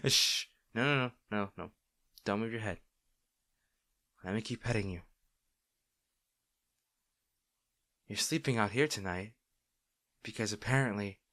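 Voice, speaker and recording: A man playing a caring, gently firm boyfriend in an intimate roleplay audio, speaking softly, slowly and earnestly. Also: on the low side, no echo, studio quality, lightly acted. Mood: protective, soothing. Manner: soft, slow, tender, earnest with sighs.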